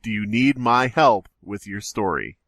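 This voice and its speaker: A man with an animated, swinging delivery chats and reads aloud as a podcast co-host. He is wisecracking and swears casually. The sound is dry, with no room to it. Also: fairly low pitch, measured pace, dry recording. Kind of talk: casual joking chat and story read-aloud.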